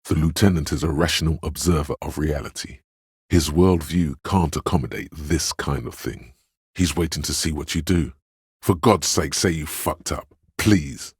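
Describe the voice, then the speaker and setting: A man playing the gentle, perceptive inner voice of empathy in a role-playing game, narrating in a measured, intimate, solemn and literary way. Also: dry recording, studio quality, heavily acted. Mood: tender, perceptive. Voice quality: very deep, gravelly, husky.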